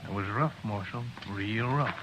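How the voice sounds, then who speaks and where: An actor playing a tough, plainspoken American frontier jailer with a folksy, laconic manner in a 1950s radio western. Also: male voice, on the low side, moderate pace, lightly acted. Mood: matter-of-fact. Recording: noisy vintage radio recording.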